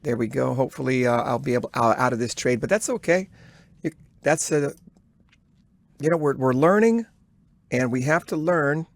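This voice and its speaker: A man giving live day-trading commentary, thinking aloud in a halting, instructive way as he talks to himself and viewers. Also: mid-range voice, lively intonation, gravelly. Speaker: day trader recording a trading journal.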